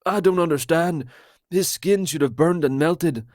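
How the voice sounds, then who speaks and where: A jittery, paranoid man rants loudly and fast, pitched a little above the narrator's own voice, as a male narrator puts him on. The recording is close and dry. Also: no echo, natural voice. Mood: indignant. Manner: loud, fast, indignant. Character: paranoid, excitable trailer-park man. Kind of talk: audiobook character voice.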